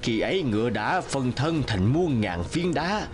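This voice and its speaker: A man playing an outspoken, scolding old village elder in an animated folk tale, admonishing as he tells what he knows. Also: mid-range voice, a little slow, faint music behind. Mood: recounting.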